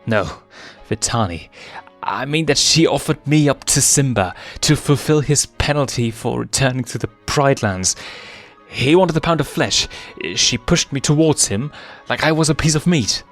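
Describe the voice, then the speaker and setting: A man's voice: medium pitch, animated, moderate pace, faint music behind, voice pushed up, close to an everyday voice. A man voicing an earnest, conflicted young male lion with a stammering, questioning, pleading manner in a story reading. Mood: angry, hurt.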